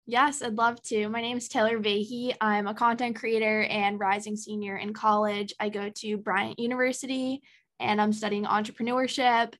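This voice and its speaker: A woman's voice: medium pitch, flat delivery, moderate pace, fairly bright tone. Chatty young woman guest, lots of ums. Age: early 20s. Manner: chatty, many ums and likes. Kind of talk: casual podcast interview.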